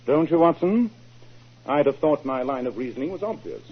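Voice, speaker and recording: An actor playing a confident, superior British gentleman detective in a 1940s radio drama, authoritative and dryly condescending. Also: mid-range voice, very animated, moderate pace, breathy edge. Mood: smug. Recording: muffled old 1940s radio recording.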